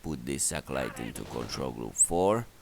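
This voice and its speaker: A man giving step-by-step, halting instructions as commentary for a strategy game walkthrough. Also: very deep voice, expressive. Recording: clearly noisy voice recording.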